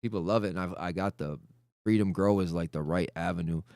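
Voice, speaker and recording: A man, rambling and animated as he tells stories in a casual podcast chat. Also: deep voice, a little fast, creaky, clear, resonant tone, dry recording, clean studio sound. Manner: rambling, animated, restarts a lot. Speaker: podcast guest, cannabis advocate.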